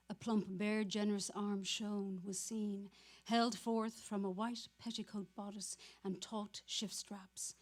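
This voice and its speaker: A woman's voice: mid-range voice, a little mellow. A woman giving a dramatic reading of literary prose. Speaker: actor reading aloud.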